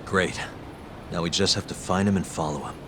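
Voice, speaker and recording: A man playing a calm, determined private detective in a video game cutscene, speaking in a composed, matter-of-fact way. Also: sits low, fairly quick, noisy background, natural voice. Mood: focused. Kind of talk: English video game cutscene dub acting. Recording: music playing behind the voice.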